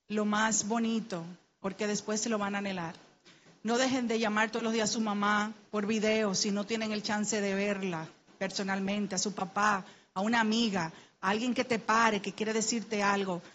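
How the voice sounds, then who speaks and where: A woman's voice: medium pitch, a bit bright, lo-fi sound, slight background noise. A middle-aged Dominican woman with a Dominican Spanish accent, speaking on a panel, sharing a personal story in an earnest, heartfelt way and urging the listeners to act. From Dominican Republic. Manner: earnest, heartfelt, grows urgent and exhorting. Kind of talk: personal testimony in a panel talk.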